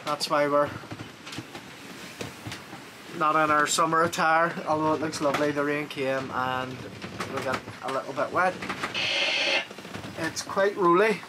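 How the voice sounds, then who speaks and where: A man's voice: on the high side, measured pace, pauses often. A man, chatting casually to a handheld camera while sailing a small boat. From Northern Ireland. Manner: relaxed, informal, chatty, with easy fillers. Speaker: sailing vlogger. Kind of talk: casual on-board narration. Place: outdoors on a small sailboat and in its cabin. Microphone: action camera held close. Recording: windy outdoor camera audio. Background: wind and water noise.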